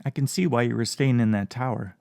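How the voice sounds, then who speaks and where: A man's voice: mid-range voice, brisk, creaky, a little mellow, no echo, clean studio sound, lightly acted. A male narrator voicing a bewildered young man with a plainspoken, wry manner in a storybook reading. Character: bewildered young man, dry humor, anxious.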